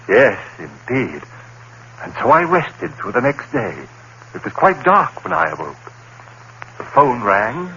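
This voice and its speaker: Vintage radio drama: a haunted academic, bright and lively voice, dull hissy broadcast sound. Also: male voice, medium pitch, heavily acted. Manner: dramatic first-person narration, brooding. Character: haunted male professor, rational and frightened. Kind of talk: 1940s radio acting. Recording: dull old radio broadcast with faint hiss.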